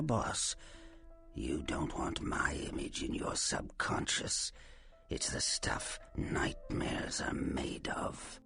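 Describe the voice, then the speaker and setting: A man's voice: a bass voice, creaky, pushed and bright, exaggerated voice. A man playing a sly, taunting Nosferatu vampire and faded film star in a video game, theatrical and teasing, often dropping into a menacing whisper. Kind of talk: video game character acting.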